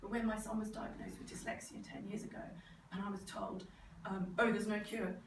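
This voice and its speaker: A middle-aged British woman with a croaky, sore-throated voice, giving an informal, animated talk and sometimes mimicking other people. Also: medium pitch, a little fast. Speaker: speaker, neurodevelopment specialist. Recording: roomy, distant microphone, clear background noise.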